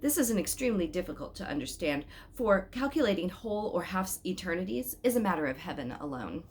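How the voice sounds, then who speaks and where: A woman's voice: on the low side, sing-song, a little fast. A woman voicing a male wise king who reasons in a precise, pedantic way. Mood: pondering, pedantic.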